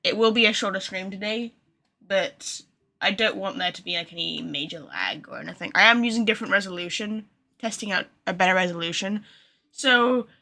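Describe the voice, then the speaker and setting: A woman's voice: medium pitch, animated, a little fast, vocal fry, pushed and bright. A woman game streamer chatting casually to her viewers, rambling and poking fun at herself. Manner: chatty, rambling, self-deprecating. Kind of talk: casual live-stream chat.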